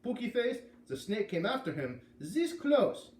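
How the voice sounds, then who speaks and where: A man's voice: sits high, very animated, a little slow, a little mellow, voice pushed up. Man doing a blustering, furious German dog trainer. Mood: indignant, scheming. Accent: put-on German. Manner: emphatic, blustering. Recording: echoey room, faint background noise.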